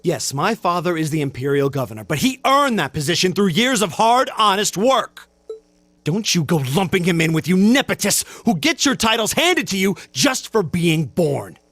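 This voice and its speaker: Proud, excitable young student. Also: male voice, sits high, normal speed, no room sound, soft background music, exaggerated voice. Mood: indignant. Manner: earnest, defensive, precise. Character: indignant, proud young male commoner student. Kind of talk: video game voice acting.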